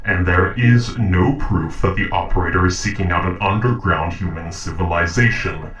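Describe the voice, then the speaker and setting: A man playing a calm, logical sentient robot in an audio roleplay, speaking in formal, measured, precise sentences. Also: deep voice, roomy sound, far from the mic, rough recording. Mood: firm, skeptical. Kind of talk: dramatic audio roleplay acting.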